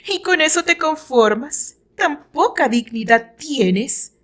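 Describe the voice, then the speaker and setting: A woman's voice: high voice, expressive, slow pace, fairly bright tone, pitched above the performer's own voice, full character voice. A woman voicing a proud, jealous and furious female rival in an audio romance drama, haughty and indignant.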